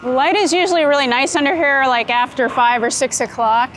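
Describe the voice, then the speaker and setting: Higher-voiced American woman, chatty and casual. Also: fairly even intonation, brisk, fairly bright tone, faint music behind, poor audio. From United States, Florida. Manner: chatty, laughs easily. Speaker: photographer being interviewed. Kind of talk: casual chat while out and about. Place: on location in a city, rooftop, car and street. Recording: outdoor city and vehicle noise.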